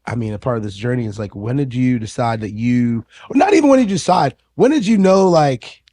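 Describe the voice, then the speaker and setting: A man's voice: medium pitch, expressive, brisk, warm tone, no echo. Eager chatty male interviewer. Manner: eager, chatty, affirming with "yeah". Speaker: podcast interviewer. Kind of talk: casual interview conversation.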